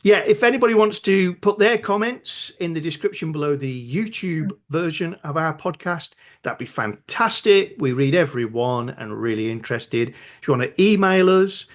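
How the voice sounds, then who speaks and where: A British man co-hosting a podcast, calm and affirming. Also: fairly high pitch, normal speed, hardly any pauses. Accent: British English. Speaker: podcast co-host. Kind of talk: casual podcast chat.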